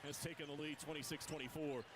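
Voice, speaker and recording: A man giving live radio play-by-play of a college basketball game, brisk and rising sharply on big plays. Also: on the high side, fairly even intonation. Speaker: play-by-play announcer. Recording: live broadcast with loud arena crowd noise. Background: arena crowd and bouncing ball.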